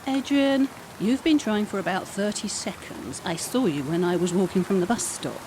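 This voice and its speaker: A woman's voice: medium pitch, lively intonation, moderate pace, noisy background, close to an everyday voice. An actress playing a dry, teasing female post office worker in an amateur audio drama. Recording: music underneath.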